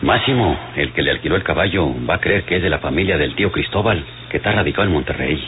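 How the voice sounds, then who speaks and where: Deep-voiced country sidekick, noisy recording. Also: male voice, expressive, fast. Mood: concerned, obliging. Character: loyal, practical country outlaw companion. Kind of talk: old radio drama acting. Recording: tinny, noisy old radio recording.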